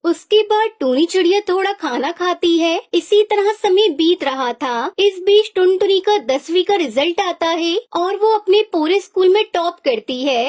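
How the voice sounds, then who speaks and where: A woman's voice: extremely high pitch, animated, a little slow, few pauses, darkish tone. A woman storyteller reading a scripted children's story as narrator.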